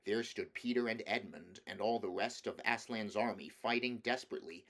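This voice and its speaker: A man's voice: on the low side, moderate pace, fairly bright tone. A man reading a children's storybook aloud as scripted narration. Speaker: audiobook reader.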